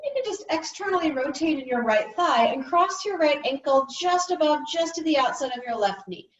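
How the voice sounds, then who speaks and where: A woman instructor calmly guiding gentle yoga and relaxation in an unhurried, soothing way. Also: high voice. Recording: roomy, distant, slightly muffled class recording.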